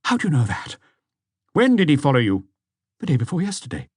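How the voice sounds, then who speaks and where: An anxious woman under questioning in a mystery audiobook, acted out by a man. The voice sits higher and moves a lot in pitch. She is hesitant and defensive, and the sound is close and dry. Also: wildly swooping pitch, brisk, breathy edge, no room sound, heavily acted. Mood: flustered, defensive.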